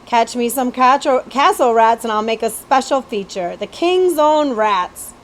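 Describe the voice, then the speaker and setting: A woman voicing a cheeky, boastful boy rat-fighter in a storybook, with squeaky, slangy speech. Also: lively intonation, a little slow. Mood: proud. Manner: uneducated street slang, exclamatory.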